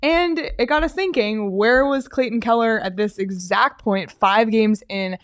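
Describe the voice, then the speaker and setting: A woman hosting a hockey talk show, guiding the conversation in a relaxed, casual way with frequent fillers. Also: fairly high pitch, measured pace, no echo, studio quality. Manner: steady, uses fillers, leads the segment. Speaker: sports show host.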